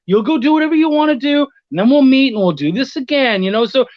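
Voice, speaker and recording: High-voiced man on a dull, dry recording. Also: expressive, quick pace, no echo. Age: middle-aged. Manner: chummy, profane. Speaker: podcast guest. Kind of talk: casual storytelling chat.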